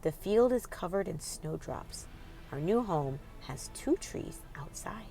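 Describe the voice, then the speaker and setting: A woman's voice: lowish voice, lively intonation, takes it slowly, vocal fry, mellow, faint music behind. A woman hosting a children's read-aloud, reading expressively and putting questions directly to young listeners in an instructive way.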